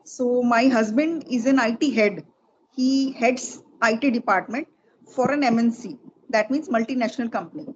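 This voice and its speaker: A woman's voice: fairly high pitch, normal speed. A middle-aged woman from Delhi with an Indian accent, chatting as a spoken English teacher being interviewed. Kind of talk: casual conversational interview in English. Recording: dull lo-fi sound with faint noise.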